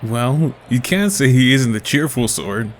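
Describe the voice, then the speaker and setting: A man's voice: mid-range voice, unhurried, a little hiss or hum, lightly acted. A man as an earnest, loyal ninja veteran. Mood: wry. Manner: calm, earnest. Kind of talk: fan radio drama acting.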